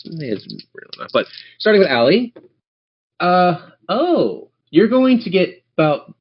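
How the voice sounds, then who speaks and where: A man narrating a tabletop game as game master in a casual, improvised way. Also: on the high side. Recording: dull lo-fi band-limited audio.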